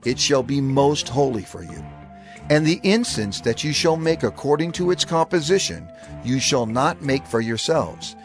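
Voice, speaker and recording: Lively-pitched man reading scripture solemnly. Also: moderate pace, faint music behind. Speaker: scripture reader.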